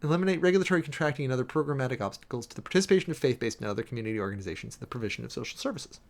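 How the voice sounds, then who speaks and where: A man reading a document aloud and commenting on it as a streamer, with wry, sardonic asides and delivery that shifts between halting emphasis and rapid reading. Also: medium pitch, lively intonation, gravelly. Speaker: streamer and preacher giving commentary.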